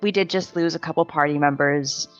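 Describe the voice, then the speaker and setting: A woman's voice: low voice, brisk, no room sound, faint music behind. A woman playing a tabletop game, speaking hesitantly and thoughtfully. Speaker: player. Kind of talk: casual tabletop roleplay. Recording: dry, dull lo-fi audio.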